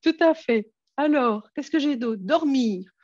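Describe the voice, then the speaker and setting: A woman's voice: high voice, lively intonation, unhurried, a little mellow, no room sound, highs cut off. A woman with a Belgian French accent presenting an online workshop, friendly, with many hesitations and fillers.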